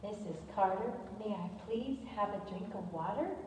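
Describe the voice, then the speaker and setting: A woman's voice: mid-range voice, moderate pace, darkish tone, close to an everyday voice. A woman playing an earnest, chatty little schoolgirl in a readers theater show. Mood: polite, tired. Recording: distant, echoey hall with faint noise.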